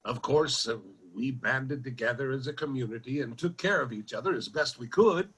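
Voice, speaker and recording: A man's voice: medium pitch, moderate pace, a bit bright, breathy edge, low-quality recording. A man playing a folksy, bewildered nineteenth-century general store owner in a radio drama, with plain-spoken country talk. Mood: sympathetic, earnest. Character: old-time West Virginia general store proprietor from the 1800s, folksy, bewildered. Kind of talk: old-time radio drama acting.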